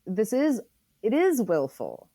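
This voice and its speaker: A woman speaking as an interview guest, earnest and reflective, deliberately repeating phrases for emphasis. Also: fairly high pitch, huge pitch swings, warm tone, dry recording, clean studio sound. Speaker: interview guest, environmental writer.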